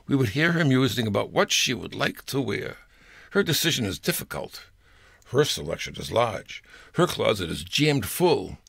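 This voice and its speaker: A man's voice: mid-range voice, gravelly, fairly bright tone, husky, no echo, studio quality. An older man reading his own humorous personal story aloud in a wry, storytelling manner. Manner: wry storyteller with playful voices.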